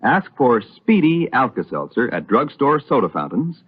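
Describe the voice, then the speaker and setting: A man's voice: mid-range voice, sing-song, moderate pace, husky. An American man announcing a scripted sponsor message on old radio, polished, persuasive and emphatic. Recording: muffled, tinny old radio broadcast.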